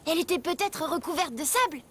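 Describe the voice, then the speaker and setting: A woman voicing a logical, eager child explorer in a children's cartoon, reasoning things out brightly. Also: extremely high pitch, expressive, fast. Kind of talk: dubbed children's cartoon acting.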